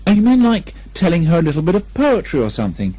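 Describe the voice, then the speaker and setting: A good-natured bear of very little brain, voiced by a man reading a storybook. The voice is raised and mellow, with an earnest, hesitant, naive manner. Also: on the high side, wildly swooping pitch, quick pace, slightly rough, pitched above the performer's own voice, heavily acted. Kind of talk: children's storybook character reading.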